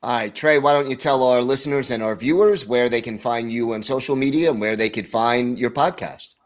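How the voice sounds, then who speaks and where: A man's voice: medium pitch, a little fast. Man hosting a sports podcast. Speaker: podcast host. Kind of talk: podcast interview and ad read.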